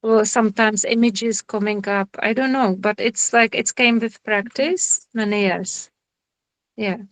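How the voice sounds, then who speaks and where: Slow-talking woman with a Czech accent. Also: mid-range voice, fairly even intonation, no room sound. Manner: halting, searching for words, friendly. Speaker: somatic therapist giving a lecture. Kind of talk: informal online lecture and Q&A. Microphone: video call.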